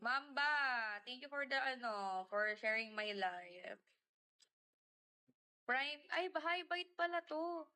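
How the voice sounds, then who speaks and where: Young woman, high voice, bright tone, chatty, mixes Tagalog and English, greets viewers by name. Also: animated, slow, pauses often. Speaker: livestreamer. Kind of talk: casual live-stream chat.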